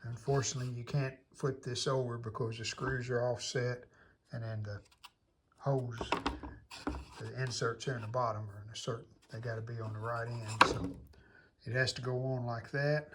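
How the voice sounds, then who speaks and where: A man's voice: medium pitch, flat delivery, moderate pace, very noisy. A man narrating a hands-on restoration casually, thinking aloud in short, plainspoken phrases. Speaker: restoration video host.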